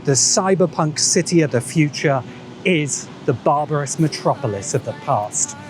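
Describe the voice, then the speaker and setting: A man narrating a scripted video essay in an emphatic, rhetorical way, with dramatic pauses. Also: on the high side, animated. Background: background music.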